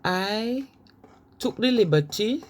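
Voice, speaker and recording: A woman from Jamaica, giving an informal talk on language to camera and reading from her notes with pauses and restarts. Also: medium pitch, lively intonation, darkish tone. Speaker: vlogger.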